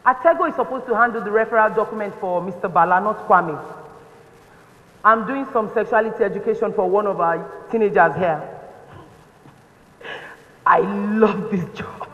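A woman with a Nigerian accent playing a patient, matronly clinic nurse in a stage play, explaining things deliberately. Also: mid-range voice, natural voice. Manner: didactic, deliberate. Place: indoor theatre stage set. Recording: reverberant stage hall, dull sound.

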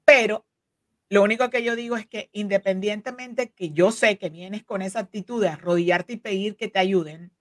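A woman's voice: medium pitch, moderate pace, dry recording, studio quality. A woman in her forties, a legal counsel, explaining earnestly with many fillers and restarts, recorded on a video call from a home office. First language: Spanish. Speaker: immigration legal counsel, guest. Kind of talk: explanatory interview talk. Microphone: desk microphone on video call.

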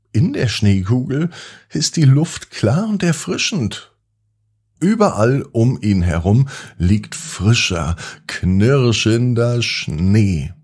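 Low-voiced man, lively bedtime storyteller. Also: breathy edge. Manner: gentle, drawn-out emphasis, addressing young listeners. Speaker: children's podcast host and storyteller. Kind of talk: expressive bedtime story narration for children.

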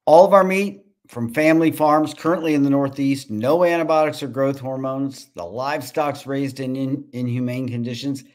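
A man in his sixties speaking on a video call as a farm business founder, pitching and answering investor questions. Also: normal speed, hardly any pauses. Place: home room with bookshelves.

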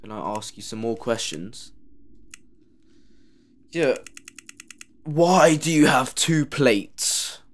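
A man's voice: mid-range voice, animated, takes it slowly, long pauses. A man commenting sarcastically while he plays a horror game. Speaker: gamer playing a horror game. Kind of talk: let's play commentary.

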